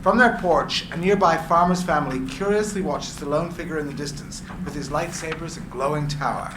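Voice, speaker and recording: Guy reading stage directions dramatically, highish voice, roomy echo, noisy background. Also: brisk. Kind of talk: dramatic scripted narration, live reading. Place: live reading in a room. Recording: echoey room, clear background noise.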